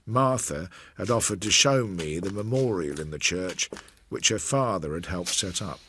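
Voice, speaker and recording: Male narrator for radio drama: reflective Victorian investigator, low register with wide inflection, understated delivery. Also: lively intonation, normal speed, a bit bright, slight background noise. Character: measured Victorian investigator recounting a failed case.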